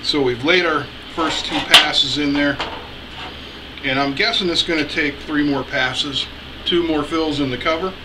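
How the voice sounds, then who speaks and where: A man's voice: a little high, measured pace. A middle-aged man giving a casual welding lesson in a home shop, talking in a plain-spoken, folksy way. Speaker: welding instructor, show host. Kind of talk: casual instructional voice-over. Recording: dull lo-fi sound with faint air conditioning hum.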